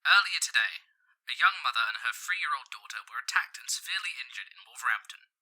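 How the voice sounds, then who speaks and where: A very bright, radio-filtered male voice delivering a news report. He is formal and scripted, at a middling pitch. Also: animated, brisk, rough recording. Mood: serious, measured.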